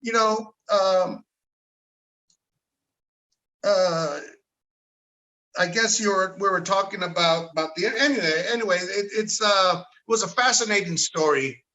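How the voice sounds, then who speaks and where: A middle-aged man with a notably high, bright voice tells a rambling story as a podcast guest. He drops Spanish words into his English and trails off before he circles back. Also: unhurried, long pauses, rough recording. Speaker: podcast guest, bar owner. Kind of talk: casual podcast conversation.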